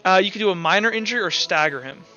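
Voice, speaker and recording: Male game master, high forceful voice, informal tabletop storytelling over soft music. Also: racing, lo-fi sound. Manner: casual, informal, sound-effect-laden descriptions. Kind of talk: narrating a tabletop roleplaying game.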